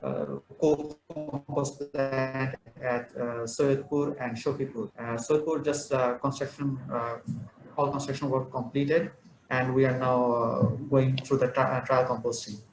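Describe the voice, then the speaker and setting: A man from Bangladesh, an engineer, introducing himself on a video call with frequent pauses. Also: medium pitch, little pitch movement, music in the background. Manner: halting, stops often to search for words. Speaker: program officer engineer. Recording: echoey room with faint hum.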